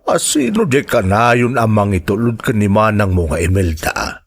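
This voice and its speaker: A man playing a grieving, gentle middle-aged father in a radio drama, with a halting, earnest way of speaking. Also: on the low side, big pitch swings, gravelly, husky, heavily acted. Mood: troubled, concerned.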